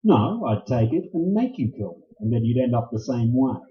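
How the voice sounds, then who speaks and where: Casting: male actor as hard-boiled, wisecracking detective, clipped and sardonic, highly animated mid-range delivery, roomy stage sound. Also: moderate pace, lightly acted. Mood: hard, insistent. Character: tough, cocky, wisecracking male private detective. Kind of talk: staged radio play acting, 1940s noir. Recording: roomy, dull live stage recording.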